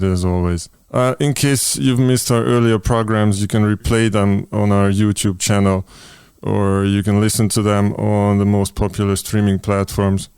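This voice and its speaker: Smooth-voiced man hosting. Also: fairly low pitch, darkish tone, no room sound, studio quality. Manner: hesitant, many fillers and restarts. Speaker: podcast host. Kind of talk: online interview.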